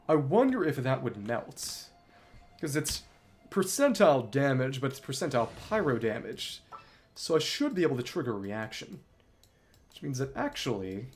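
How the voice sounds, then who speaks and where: A man doing live gameplay commentary as a streamer, talking analytically through tactics to himself. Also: mid-range voice. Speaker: game streamer. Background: music behind the voice.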